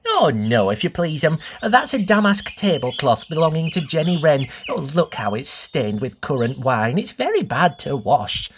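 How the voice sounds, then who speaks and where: A woman's voice: deep voice, very animated, moderate pace, few pauses, a little hiss or hum. A woman voicing a stout little hedgehog washerwoman from a storybook, anxious, eager to please and fussily polite. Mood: fussy, obliging. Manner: fussy, polite servant talk with repeated apologies and exclamations.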